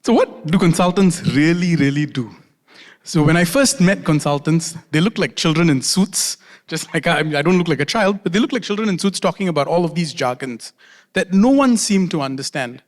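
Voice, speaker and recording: A man from Singapore speaks on stage at a conference, a slightly high voice ringing through a large hall with a long reverb tail. He is friendly and self-deprecating, and he repeats his key phrases slowly for emphasis. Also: expressive. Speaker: conference speaker, doctor and consultant. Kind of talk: conference talk.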